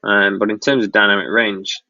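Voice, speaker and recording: Live-stream host from Lancashire, laid-back and rambling; slightly dull lo-fi sound. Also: male voice, lowish voice, normal speed, no room sound. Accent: Northern English (Lancashire). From Blackpool, Lancashire, northwest England. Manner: relaxed, rambling, frequent ums and restarts. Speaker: wedding filmmaker hosting a live Q&A. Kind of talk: casual live-stream Q&A, reading out chat questions.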